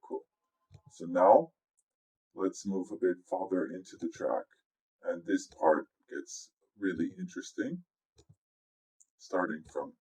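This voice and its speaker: Man, low voice, music producer, casual tutorial narration, informal explanatory delivery. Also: breathy edge, rough recording. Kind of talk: casual software walkthrough. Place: indoors, voice-over on a screen recording.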